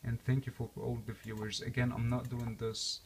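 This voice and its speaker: Gravelly-voiced man rambling casually. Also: mid-range voice, little pitch movement, moderate pace, slight background noise. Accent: non-native English accent. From born and raised outside the US. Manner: rambling with many fillers and restarts. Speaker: vlogger reviewing a game console. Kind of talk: casual unscripted walkthrough.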